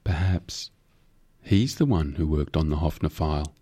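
A man's voice: extremely low pitch, expressive, a little slow, mellow, breathy edge, full character voice. A man voicing a nervous, modest male junior lawyer in an audiobook, hesitant and deferential. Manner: hesitant, stammering ums, deferential.

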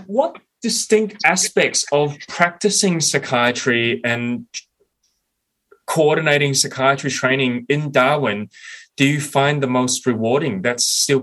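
A man co-hosting a radio show in the studio, asking questions in a measured way with frequent ums. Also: a little high, a bit bright, rough recording. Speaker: radio co-host.

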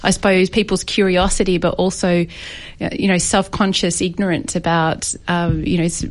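A woman's voice: lowish voice, little pitch movement, normal speed. A woman co-hosting a radio interview, asking thoughtful, hedging questions with fillers. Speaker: radio co-host. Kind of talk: casual interview chat.

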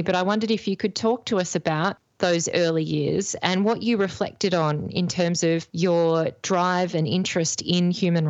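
Australian woman, radio host, low voice, dry studio sound, measured and earnest. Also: dry recording, dull audio. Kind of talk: community radio interview.

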